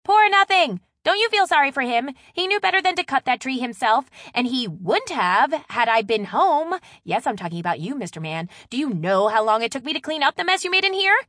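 A woman voicing a bossy, exasperated younger sister in an audiobook, loud, emphatic and full of complaint. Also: on the high side, sing-song, moderate pace, dry recording, clean studio sound, voice pushed up. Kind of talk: audiobook character dialogue.

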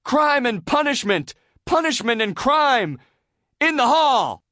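Super high hyper cartoon sponge kid, pompous and over excited, storybook style, bouncy pitch. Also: male voice, slow pace, dry recording, studio quality, full character voice. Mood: pompous, earnest. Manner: grandiose, over-excited declaiming. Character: eager, hyper, self-important cartoon sea sponge boy. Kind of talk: children's storybook character voice.

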